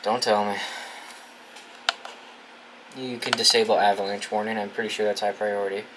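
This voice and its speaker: A young American man with a fairly low voice talks through a gadget setup in a loose, informal way, thinking aloud and swearing freely. The recording has clear noise behind him. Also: little pitch movement, moderate pace. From New England, United States. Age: young adult. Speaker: video host reviewing a gadget. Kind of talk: casual unboxing and setup commentary. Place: dorm room.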